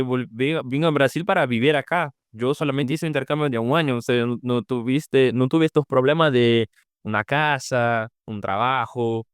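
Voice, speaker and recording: A man's voice: medium pitch, smooth, no room sound, clean studio sound. A young man from Brazil with a Brazilian Portuguese accent, a Portuguese native speaker answering interview questions in Spanish, hesitant and searching for words. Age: young adult. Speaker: podcast guest, former exchange student.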